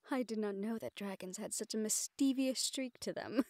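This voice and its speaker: A woman playing a gentle, playfully reproving fantasy sweetheart in a romantic audio roleplay, speaking tenderly in formal phrases. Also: mid-range voice, unhurried, no room sound, clean studio sound, close to an everyday voice. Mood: playful scolding. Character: gentle, playfully scolding fantasy woman courting a dragon general.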